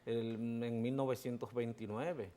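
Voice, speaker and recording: A man's voice: mid-range voice, steady tone, unhurried, mellow. A male talk show host with a mid-range voice speaks in short replies. He agrees briefly with his guest, then offers his own counterpoints.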